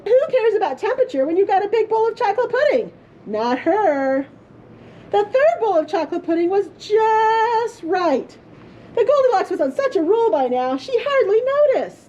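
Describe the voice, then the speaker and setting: A woman storyteller reading a children's story aloud in a playful, theatrical way with drawn-out emphasis. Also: very high voice, very animated, mellow, lo-fi sound, a little hiss or hum. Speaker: librarian storyteller. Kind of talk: expressive children's storybook reading for a puppet show.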